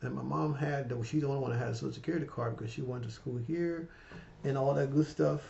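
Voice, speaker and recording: A man's voice: mid-range voice, steady tone, fast talker, vocal fry, highs cut off. A man in his fifties talking casually to his viewers in a rambling, heartfelt way, often tagging his sentences with "right?". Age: 50s. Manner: rambling, repeats "right?" and "you guys"; warm and heartfelt, emotional about his mother. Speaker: finance vlogger. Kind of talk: casual motivational talk to camera.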